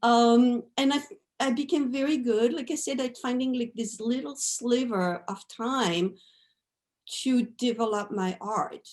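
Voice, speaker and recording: A woman's voice: on the high side, a little slow, darkish tone. Woman in her fifties. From France. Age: 50s. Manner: thoughtful, often adds "right?" and "you know". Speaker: guest artist. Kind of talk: reflective interview talk.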